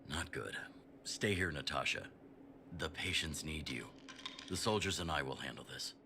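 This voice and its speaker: Very deep, gruff older commander. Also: male voice, expressive, background noise, exaggerated voice. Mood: urgent command. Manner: blunt, measured, commanding. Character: gruff, pragmatic older male resistance leader. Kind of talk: scripted video game voice acting.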